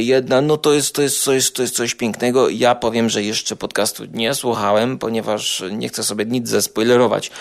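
A man giving an informal, humorous podcast monologue in a jokingly boastful, hyperbolic way. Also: fairly low pitch. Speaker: podcast co-host reviewing podcasts.

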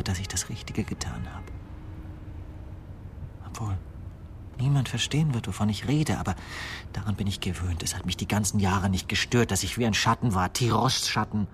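An actor playing an obsessed, bitter idealist confessing to murder in a radio play, with an intense, fervent delivery. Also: male voice, on the low side, a little hiss or hum, lightly acted. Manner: intense, fervent, swinging from hushed to raised voice. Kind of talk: 1990s German radio play acting.

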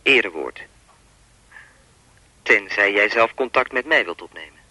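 Sinister phone stalker, radio play, pompous, controlling, animated intonation, dry close sound. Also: male voice, on the low side, unhurried, no room sound, heavily acted. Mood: controlling, menacing. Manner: pompous, wordy, coolly controlling. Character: sinister, persistent male phone stalker, smugly philosophising. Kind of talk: Dutch radio play acting.

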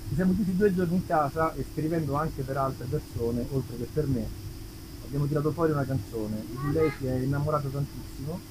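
A man's voice: on the high side, a little fast, noisy background. A man, a musician telling wry anecdotes casually to an audience between songs at an outdoor concert. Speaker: musician, singer-songwriter. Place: outdoor festival stage in an olive grove. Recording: live outdoor concert recording, guitar behind.

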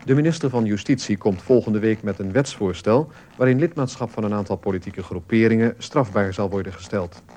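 An actor playing a sober male radio news reader, formal and measured, in a Dutch radio play. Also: on the low side, darkish tone, slight background noise, close to an everyday voice.

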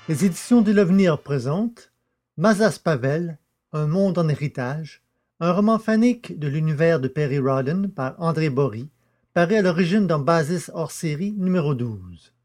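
Clear background music accompanies a man narrating an audio drama. His voice is on the higher side, and he reads in a scripted storytelling style. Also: animated, moderate pace, darkish tone.

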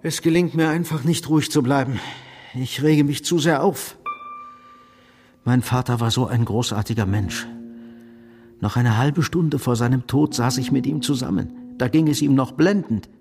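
An actor playing an overwrought, emotional grown son in a radio crime drama, agitated and effusive. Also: male voice, mid-range voice, normal speed, darkish tone, big-room sound, soft background music, natural voice. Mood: distraught, effusive.

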